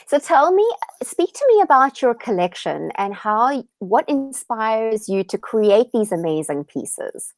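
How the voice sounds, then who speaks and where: Higher-pitched woman interviewer. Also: very animated, unhurried, no echo, low-quality recording. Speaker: interviewer and personal stylist host. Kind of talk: casual interview questioning.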